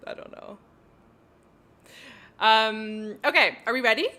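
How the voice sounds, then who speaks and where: A woman's voice: a little high, slow pace, sharp tone. A woman with a French Canadian accent hosting a flight sim livestream, chatting casually, giggling often and talking through her cockpit steps. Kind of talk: casual livestream chat while flying.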